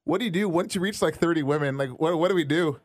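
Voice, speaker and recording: Teasing podcast host, dry sound. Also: male voice, on the high side, fast, no echo. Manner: jokey, teasing, laughs a lot. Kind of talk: casual podcast chat.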